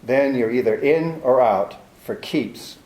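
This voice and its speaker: An actor playing a tough, sardonic private detective who talks bluntly in 1940s radio style. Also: male voice, medium pitch, slow, noisy background, natural voice. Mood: hard, blunt. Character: tough hard-nosed male private detective. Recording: roomy echo.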